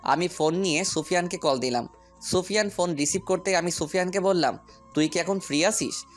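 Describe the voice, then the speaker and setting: A man's voice: high-pitched, a little fast, faint music behind, lightly acted. A man playing a determined businessman hero in an audio story, speaking firmly and with purpose. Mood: purposeful. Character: determined businessman hero, commanding boss, loyal friend.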